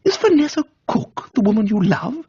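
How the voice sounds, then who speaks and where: A man voicing an amiable, foppish young gentleman with an upper-class English accent, chirpy and easily flustered, in a comic reading. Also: high voice, very animated, normal speed, husky, dull audio, pitched above the performer's own voice, heavily acted. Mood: curious, breezy. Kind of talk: dramatised comic audiobook reading.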